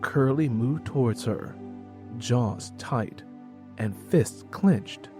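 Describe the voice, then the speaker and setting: A male narrator with a mid-pitched voice reads a scripted tale dramatically. Faint music plays behind him. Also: extremely slow, warm tone.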